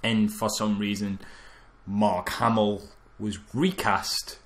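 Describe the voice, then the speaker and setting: A man's voice: fairly low pitch, flat delivery, slow. Guy on a movie podcast, dry jokes, laughs at his own bits. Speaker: podcast co-host. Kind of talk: casual chat reviewing a film.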